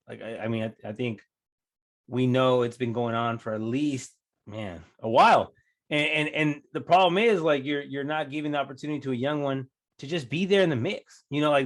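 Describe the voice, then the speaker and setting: A man co-hosting a soccer podcast from home, chatting in an easygoing way with plenty of "you know" and "man". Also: mid-range voice. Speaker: podcast co-host. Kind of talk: casual podcast chat.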